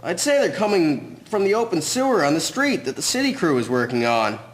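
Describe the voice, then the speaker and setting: A man acting as a friendly carpenter's helper in a historical audio drama. His voice is high for a man and moves around a lot. He comes across as chatty and observant. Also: sing-song, brisk. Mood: friendly, concerned.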